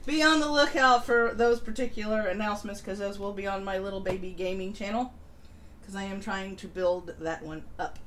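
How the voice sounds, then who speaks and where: A woman's voice: mid-range voice, fairly quick, fairly bright tone. A woman hosting a casual live stream, reading announcements and chatting informally. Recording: noisy home livestream audio.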